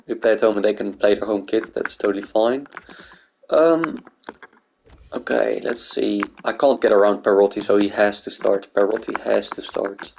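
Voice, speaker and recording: A man from Greece talks casually through his video game, thinking aloud as he goes. His voice sits fairly low with a lot of creak. There is slight noise behind him. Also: steady tone, normal speed, a little mellow. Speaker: gaming video maker.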